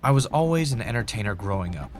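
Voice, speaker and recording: An actor playing a bitter, self-justifying former reality show winner turned podcaster, delivering a reflective confessional monologue with dramatic pauses. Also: male voice, lowish voice, sing-song, soft background music. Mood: wry, reflective. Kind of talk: confessional first-person scripted audio drama monologue.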